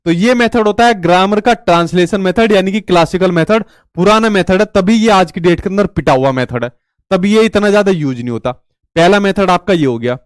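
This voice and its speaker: High-voiced chatty male teacher. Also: brisk, clean tone, dry recording, clean studio sound. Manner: chatty, asks rhetorical questions, tells stories. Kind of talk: exam-prep lecture.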